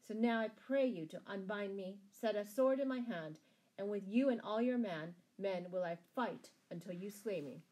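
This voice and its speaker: A woman's voice: mid-range voice, normal speed, mellow, voice pushed up, natural voice, rough recording. A woman voicing a proud, loyal male outlaw captive in a storybook, with ringing, declamatory heroic speech. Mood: proud pleading.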